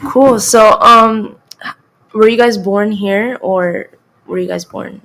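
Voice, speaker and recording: A woman's voice: medium pitch, steady tone, takes it slowly, a bit bright, poor audio. A teenage girl hosting a school podcast, asking short, friendly interview questions. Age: teens.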